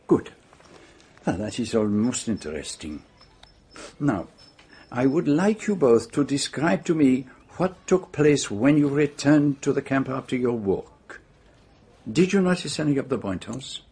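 An actor playing a courteous, methodical Belgian detective with a French-speaking accent, questioning precisely in a radio drama. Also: male voice, medium pitch, big pitch swings, unhurried, dark tone, husky, exaggerated voice. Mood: courteous inquiry. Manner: polite, precise, probing questioning.

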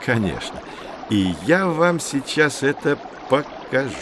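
A man's voice: medium pitch, big pitch swings, heavy background noise. A man playing a knowledgeable, patient nature guide who explains things in a children's educational audio drama. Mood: friendly, explaining. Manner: measured, didactic.

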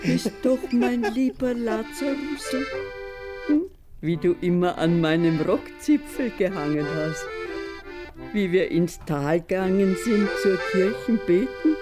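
A pious country woman fussing lovingly over her boy in a radio play. She, a slow delivery and an animated, rising and falling pitch. Accordion music plays behind. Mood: pious, doting. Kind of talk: German-language radio drama acting.

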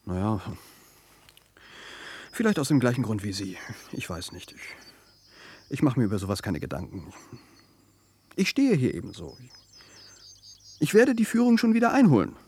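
A man's voice: lowish voice, wildly swooping pitch, normal speed, long pauses, a little mellow, slightly rough, exaggerated voice. An actor playing a furtive, evasive male visitor in a radio play, speaking in a guarded, hesitant, conversational way. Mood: guarded, curious. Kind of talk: naturalistic radio play acting.

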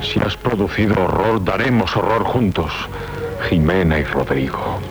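A deep-voiced, ardent young knight. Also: male voice, expressive, normal speed, music in the background. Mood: resolute, ardent. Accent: Castilian Spanish. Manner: declamatory, noble, earnest. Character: ardent, resolute young knight Rodrigo, devoted lover. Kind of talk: 1970s Spanish radio theatre acting.

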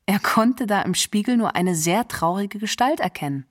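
An actress playing an old friend who sadly and tenderly reminisces in an intimate, plain radio monologue. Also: female voice, medium pitch, expressive, normal speed, slight background noise, natural voice. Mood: wistful. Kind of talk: radio documentary drama monologue.

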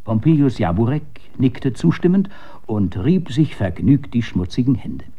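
A man narrating a literary story in a measured, deliberate radio storytelling manner with dramatic emphasis. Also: mid-range voice, sing-song. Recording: slightly dull, band-limited radio recording.

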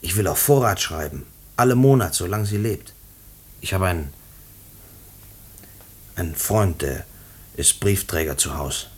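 Low-voiced, pleading condemned prisoner. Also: male voice, expressive, husky, exaggerated voice. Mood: subdued, earnest. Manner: halting, repeats words, pleading. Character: condemned male prisoner, young, earnest, stubborn, devoted to his mother. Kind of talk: German radio play acting.

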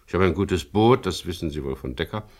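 An actor playing a wry, stubborn adventurer who narrates a radio crime drama in a dry, sardonic manner. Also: male voice, low-pitched, lively intonation, brisk, darkish tone. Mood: firm. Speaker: narrator and lead character.

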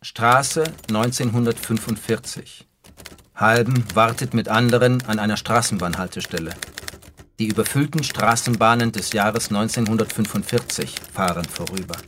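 A man's voice: on the low side, normal speed, a bit bright, slight background noise. A man reading scripted scene directions as a narrator in a radio play.

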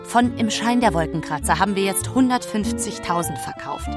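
Radio play: actress as insistent, exasperated woman publisher, medium register, brisk and sarcastic. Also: mid-range voice, expressive, over music, close to an everyday voice. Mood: urgent, coaxing. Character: pushy, exasperated Berlin woman publisher badgering her author. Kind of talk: German radio play acting.

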